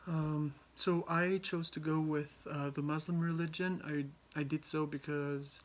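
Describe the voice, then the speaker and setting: A young man from Albania giving a class presentation, halting often with ums and restarts. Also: on the high side, level tone. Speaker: student presenter.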